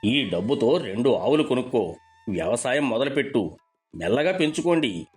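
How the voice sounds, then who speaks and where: A man's voice: medium pitch, big pitch swings, moderate pace, natural voice. Man acting a kindly village elder, music behind. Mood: earnest, advising. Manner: affectionate, advising. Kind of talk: dramatised storybook dialogue.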